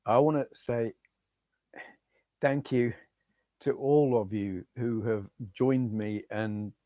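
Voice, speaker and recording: A middle-aged man with a hoarse voice, chatting casually to viewers on a retro gaming live stream and reading out their names. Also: medium pitch, dark tone, no echo. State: hoarse, losing his voice.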